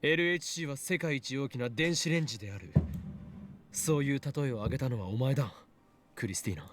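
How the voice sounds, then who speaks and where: A man's voice: medium pitch, rapid-fire, a bit bright, lightly acted. An actor playing an intense, imperious young man who styles himself a mad scientist, in a Japanese visual novel, with noisy game audio. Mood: serious, imperious.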